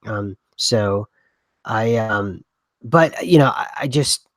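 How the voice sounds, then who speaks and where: A man's voice: lowish voice, takes it slowly, no echo. A man co-hosting a casual podcast, rambling with many restarts, who has a cough. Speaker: podcast co-host. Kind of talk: casual conspiracy podcast chat.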